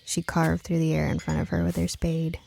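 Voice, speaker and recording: A woman's voice: deep voice, completely flat delivery, a little fast, vocal fry, mellow, slight background noise. A woman reading scripted first-person fiction as an audiobook narrator.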